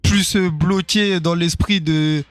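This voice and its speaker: Young male rapper guest, slightly hoarse, easygoing chat, dry studio sound, voice sits a little high. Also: steady tone, slow pace, no room sound, faint music behind. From Congo (Kinshasa), grew up in France. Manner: easygoing, repeats "c'est ça", "et cetera". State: hoarse after performing. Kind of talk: casual radio interview.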